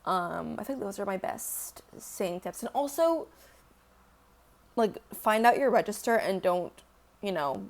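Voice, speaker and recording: A woman's voice: animated, a little slow. A young woman who sings, answering fan questions in a loose, rambling way full of fillers and casual swearing. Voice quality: deeper singing voice. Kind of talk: casual Q&A chat.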